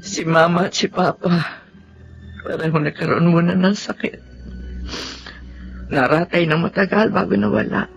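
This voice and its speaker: A woman acting a devoted middle-aged wife and mother in a melodramatic radio drama, with an emotive, drawn-out delivery. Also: fairly low pitch, fairly even intonation, creaky, lo-fi sound, soft background music. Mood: grieving, subdued.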